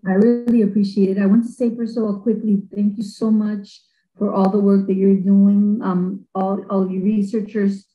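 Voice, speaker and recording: A woman's voice: mid-range voice, little pitch movement, normal speed, phone-quality sound. A middle-aged woman from Colombia, an activist, asking an earnest question with many fillers on a video call. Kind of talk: webinar audience question. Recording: roomy echo on video call.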